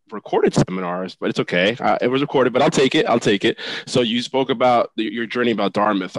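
A man's voice: lowish voice, no room sound, low-quality recording. A man hosting an interview show, talking with his guest. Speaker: podcast host.

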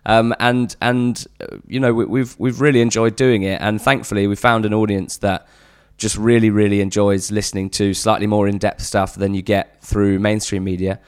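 A man's voice: lowish voice, level tone, moderate pace, clear, resonant tone. An English man guesting on a football podcast, chatting earnestly with lots of fillers and restarts. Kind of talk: casual podcast chat.

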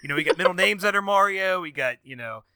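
Fast, bright-voiced teasing man. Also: high voice, animated, clear, resonant tone, dry recording. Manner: rambling, teasing, lots of fillers and restarts. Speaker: podcast co-host. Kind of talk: casual podcast banter.

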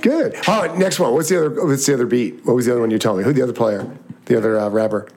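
A man with a low voice interviewing a rapper in casual chat, excitable and quick to restart his sentences. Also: faint music behind.

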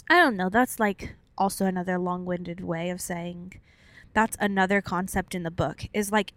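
A young woman talking in a loose, rambling podcast chat. Her pitch sits in an ordinary middle range. She leans heavily on "like" and laughs easily as she explains. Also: no echo. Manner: chatty, long-winded, laughs often, many "like" fillers. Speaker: podcast co-host. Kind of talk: casual chat.

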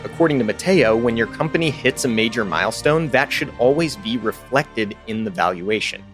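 A man reading scripted narration for a business podcast in a polished, even delivery. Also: mid-range voice, normal speed, faint music behind.

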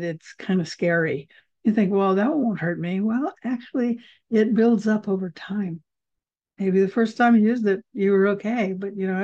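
A woman's voice: medium pitch, dark tone. A woman hosting a podcast and teaching a class on herbal remedies, chatty and rambling with many fillers, recorded over a video call. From United States. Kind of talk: casual teaching talk. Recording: slightly dull video call audio.

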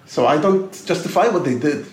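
An Arabic-speaking man from Israel, a podcast guest who talks thoughtfully and haltingly with many fillers. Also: on the high side, lively intonation, darkish tone, echoey room, slight background noise. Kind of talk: podcast interview.

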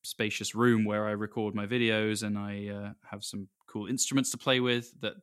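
A man's voice: lowish voice, little pitch movement, normal speed, fairly bright tone, smooth, dry recording, studio quality. An English man, a singer in a vocal group, chatting casually on a call and hesitating often. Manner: hesitant, with many fillers.

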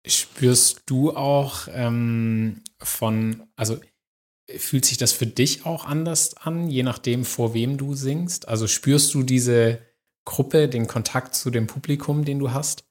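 A man's voice: medium pitch, steady tone, takes it slowly, darkish tone. A man hosting a podcast interview, asking questions casually and hesitantly, with fillers. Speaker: podcast host.

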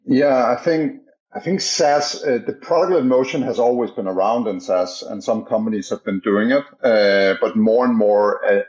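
A man's voice: fairly low pitch, a little mellow, highs cut off. A man from Denmark with a Danish accent, a startup co-founder talking as a podcast guest, with many fillers and restarts. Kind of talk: casual interview talk.

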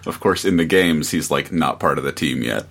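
A man's voice: extremely low pitch, moderate pace, gravelly, fairly bright tone. A man chatting casually as a guest on a podcast, chiming in on a discussion. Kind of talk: casual chat.

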